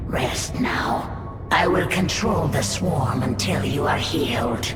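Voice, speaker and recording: A proud alien swarm creature in a game cutscene, fierce and formal. Her voice is low and deliberate, echoing under very loud music. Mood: stern. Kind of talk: dramatic video game cutscene acting. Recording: echoey, distant, very loud music.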